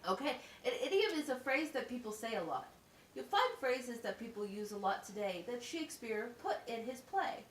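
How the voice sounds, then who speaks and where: Puppet-show schoolteacher, played by a woman: patient, encouraging, animated intonation, explains step by step; echoey, distant recording. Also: fairly high pitch, normal speed. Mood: patient, explaining. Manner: instructional, simple step-by-step explaining with questions. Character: patient, encouraging female special education teacher at wizard school. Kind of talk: amateur puppet show acting. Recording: echoey, distant mic with faint noise.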